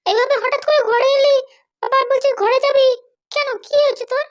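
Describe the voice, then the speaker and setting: Woman acting cartoon mother, squeaky-high pitch, doting, fretful, bossy, nagging insistence. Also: fairly even intonation, fairly quick. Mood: worried, coaxing. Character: doting, anxious, bossy mother of a grown son. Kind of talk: cartoon dialogue.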